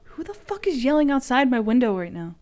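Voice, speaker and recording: A woman's voice: high-pitched, sing-song, brisk, a little mellow. A woman giving tarot readings on a stream in a playful, casually profane way, moving between loose chat and reading aloud.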